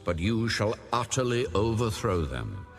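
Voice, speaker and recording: A man narrating scripture in a solemn, measured, authoritative way, like an audio Bible. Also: on the low side, big pitch swings. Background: background music.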